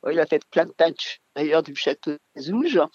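Older woman guest, halting rambling talker, dull dry lo-fi audio. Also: deep voice, animated, a little mellow, breathy edge, dry recording. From France. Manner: halting, rambling, many fillers. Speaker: mill owner, radio guest. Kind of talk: radio interview.

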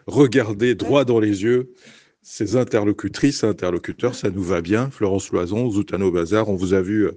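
A man moderating a debate, briskly guiding the speakers and introducing guests. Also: lowish voice, warm tone, no room sound.

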